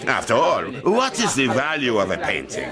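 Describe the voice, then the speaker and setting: Casting: a slick gallery manipulator for radio drama, courteous and patronising, with animated, swooping delivery. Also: male voice, mid-range voice. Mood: smugly persuasive. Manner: suave, measured, condescendingly courteous. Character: smooth, scheming male art dealer managing a scam. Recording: crowd chatter behind the voice.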